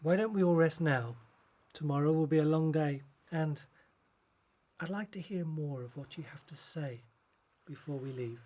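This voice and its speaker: An actor playing a respectful, thoughtful follower in a radio Bible drama, polite and earnest. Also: male voice, fairly high pitch, normal speed, warm tone, lightly acted.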